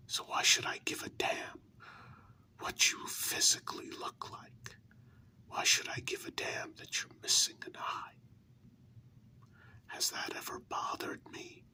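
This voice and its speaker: A man voicing a hurt human lover confronting a vampire partner in a whispered ASMR role-play, with earnest, deliberate, drawn-out words. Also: sharp tone. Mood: hurt, tender. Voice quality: breathy unvoiced whisper.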